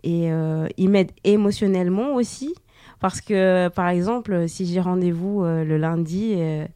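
A woman's voice: on the low side, measured pace, warm tone, smooth, no room sound, clean studio sound. A young woman born in Portugal, guest on a local radio show, talking casually with frequent fillers. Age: young adult. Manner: hesitant, many fillers.

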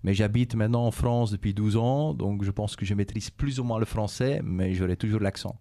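Man, Belgian, Flemish accent, Dutch native speaker, middle-aged, measured, mellow and warm voice. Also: lowish voice. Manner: measured, repeats himself, frequent "euh" and "et cetera". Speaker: business executive interviewed by schoolchildren. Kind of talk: calm explanatory interview answers.

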